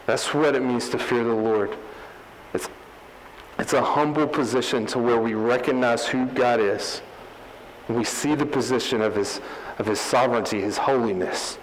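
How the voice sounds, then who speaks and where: A man's voice: medium pitch, normal speed, big-room sound, slight background noise, poor audio. A man preaching a teaching sermon, earnest and explanatory, often restarting his phrases. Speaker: pastor.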